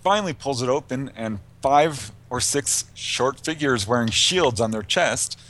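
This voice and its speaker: A man's voice: mid-range voice, expressive, measured pace, fairly bright tone. Man recounting cases as an interview guest. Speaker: interview guest, paranormal researcher and author. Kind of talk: conversational interview, telling case anecdotes.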